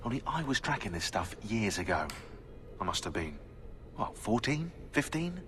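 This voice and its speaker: An actor playing a sardonic, eccentric British conspiracy researcher turned assassin in a video game, chatty, opinionated and sarcastic. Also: male voice, on the low side, very animated, normal speed, over music. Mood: earnest, reflective. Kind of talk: game cutscene dialogue.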